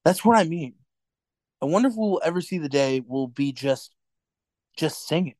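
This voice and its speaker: A man playing an earnest, anxious rebel in a radio drama, with a hesitant, halting delivery. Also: a little high, animated, a little mellow, dry recording. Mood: tender, wistful. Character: earnest, anxious male rebel and lover in a dystopia. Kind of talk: radio play acting.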